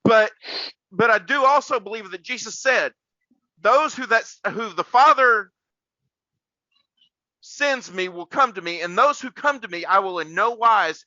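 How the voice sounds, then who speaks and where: A man's voice: sits high, pushed and bright, dry recording, highs cut off. A man hosting a casual faith podcast like a preacher, rambling and repeating words for emphasis.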